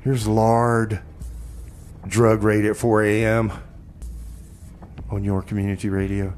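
A man's voice: lowish voice, little pitch movement, slow pace, a little mellow, soft background music. A man hosting a radio show with casual chat and comic banter.

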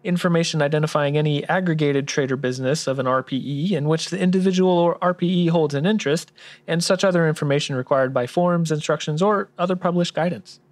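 A man's voice: a little high, quick pace, lightly acted. A man playing an obliging tax explainer sidekick, giving orderly scripted explanations in a radio drama. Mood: matter-of-fact. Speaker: tax expert co-host.

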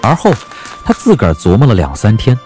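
A man narrating a story as an audiobook narrator, in a scripted, expressive and measured dramatic style. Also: on the low side, soft background music.

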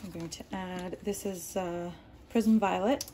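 A woman's voice: lowish voice, steady tone, measured pace, background noise. A woman whose first language is Arabic, hosting a painting tutorial in a home studio and explaining as she works, with lots of ums and restarts. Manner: rambling, many ums and restarts. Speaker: art tutorial host. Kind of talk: casual explaining while working. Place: home art studio, indoors.

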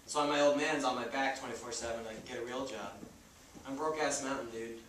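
An actor playing a young, idealistic, broke farmer on stage, pleading and stammering in slangy, insistent speech. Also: male voice, medium pitch, fairly even intonation, fast, fairly bright tone, natural voice. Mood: sheepish, tentative. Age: young adult. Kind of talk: stage play acting. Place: indoor theater stage. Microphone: far camera. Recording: echoey theater stage, distant noisy camera mic.

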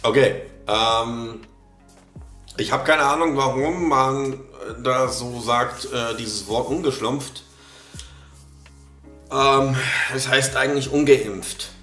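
A man's voice: medium pitch, fairly bright tone, echoey room. A man in his thirties with a slight cold, commenting on a video casually, with many fillers and restarts. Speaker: reaction video host. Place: small room at a desk.

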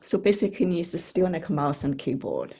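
A woman's voice: deep voice, very animated, fairly quick, dark tone, breathy edge. A woman developer at a team meeting on a video call, speaking hesitantly and asking questions.

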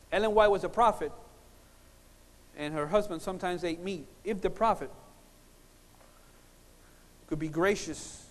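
Middle-aged man, high-pitched voice, storytelling preacher, emphatic pauses, reverberant hall. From Puerto Rico, born in Cuba. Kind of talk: sermon with humorous personal stories. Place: large conference hall, on stage at a lectern. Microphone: lapel mic. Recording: reverberant hall sound system.

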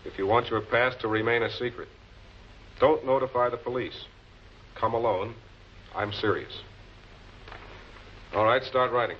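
A man's voice: mid-range voice, a little hiss or hum, close to an everyday voice. Muffled old-film prosecutor, composed and commanding, played by a man. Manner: measured, commanding, deliberate. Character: composed, authoritative male public prosecutor cornering murder suspects. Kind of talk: 1940s television crime drama acting.

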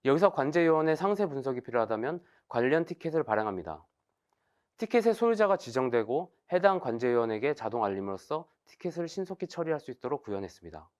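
A man in his thirties giving a formal, scripted technical webinar presentation in a measured, explanatory way. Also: mid-range voice, very animated.

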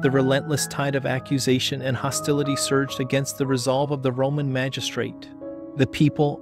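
A man reading a scripted homily as solemn, measured dramatic narration. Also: mid-range voice. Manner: measured, solemn storytelling delivery. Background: background music.

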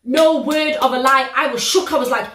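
Animated british woman, roomy room. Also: high-pitched, moderate pace, a little hiss or hum. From United Kingdom. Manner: animated, slangy, exclamatory storytelling. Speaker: reaction video host. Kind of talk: casual reaction vlog. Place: living room, sitting on a sofa. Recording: roomy living-room echo.

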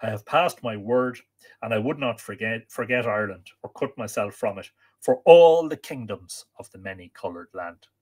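A man's voice: lowish voice, lively intonation, normal speed, darkish tone. A man voicing an earnest, melancholy and stubborn adventurer in a storybook tale, speaking in a declamatory way.

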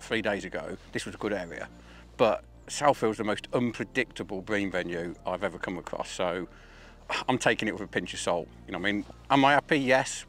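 A man's voice: on the low side, fairly bright tone. A man in his forties with an English accent, chatting casually outdoors, asking and answering his own questions. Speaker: competing angler. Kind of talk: casual interview to camera. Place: outdoors at the edge of a reservoir.

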